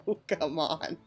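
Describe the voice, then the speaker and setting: A woman's voice: on the low side, darkish tone, breathy edge. A woman giving casual, off-the-cuff commentary as she plays a video game. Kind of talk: casual gameplay commentary and reading dialogue aloud.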